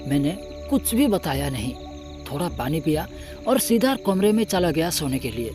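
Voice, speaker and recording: Higher-pitched man telling a horror story. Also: very animated, moderate pace, music in the background. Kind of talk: dramatic scripted first-person horror storytelling.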